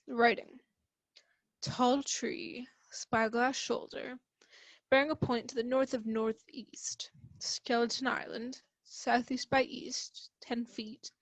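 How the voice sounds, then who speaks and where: A woman playing a shrewd gentleman doctor in a radio play, deliberate, dry and formal. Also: on the high side, no echo. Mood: intent, studious.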